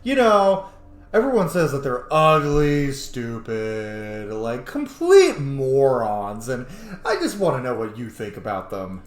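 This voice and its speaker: A man's voice: mid-range voice, very animated, takes it slowly. A man giving casual, sweary, mocking commentary as he roleplays through a video game. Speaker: gaming video host. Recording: echoey room.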